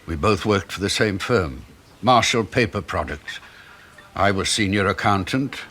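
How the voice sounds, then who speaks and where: An actor playing a timid, henpecked older British husband in a 1970s radio drama, speaking in a hesitant, flustered, pleading way. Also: male voice, deep voice, animated, measured pace, a bit bright, slight background noise. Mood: reflective, hesitant.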